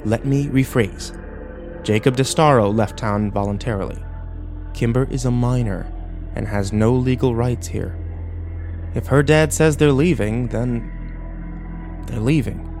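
A man's voice: mid-range voice, unhurried, pauses often, darkish tone, natural voice. A man playing a middle-aged sheriff and father in a dramatic story, stern, weary, firm and authoritative. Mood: placating, firm. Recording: music behind.

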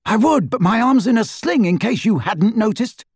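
A man's voice: very high-pitched, huge pitch swings, unhurried, dry recording, clean studio sound, pitched above the performer's own voice, exaggerated voice. A man voicing an irritable, hungry teenage boy wizard who grumbles and snaps sarcastically. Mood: grumbling. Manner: grumbling, snappish.

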